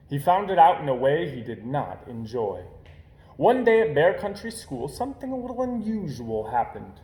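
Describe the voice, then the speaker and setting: A man reading a children's picture book aloud with animated, dramatic emphasis. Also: medium pitch, echoey room. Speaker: storybook reader.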